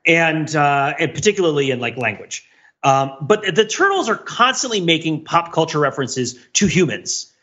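A man on a podcast panel giving animated, explanatory pop-culture analysis in a casual way. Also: on the high side, normal speed. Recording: dull, lo-fi voice track.

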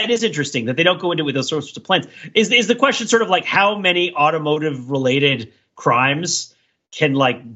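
A man with a highish voice and very lively intonation, co-hosting a casual podcast. He riffs excitably, groping for the next word, with a husky edge to his sound. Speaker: podcast co-host. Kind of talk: casual chat, riffing. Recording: slightly dull, lo-fi audio.

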